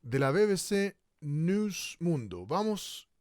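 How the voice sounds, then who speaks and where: A man playing a high-voiced radio host. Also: very animated, slow pace, darkish tone, dry recording, studio quality. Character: eager male radio host, brisk interviewer. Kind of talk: radio drama acting.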